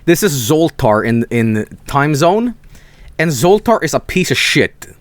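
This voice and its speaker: A man's voice: fairly high pitch, sing-song, measured pace. A young man from Sweden, telling rambling stories on a casual stream, swearing freely and restarting his sentences.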